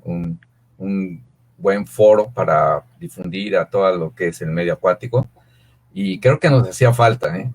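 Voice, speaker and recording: A man's voice: low-pitched, creaky. Man in his fifties with a Mexican accent. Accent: Mexican Spanish. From Mexico City. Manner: rambling, filler-heavy storytelling. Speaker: guest, swim coach. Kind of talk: relaxed interview answers.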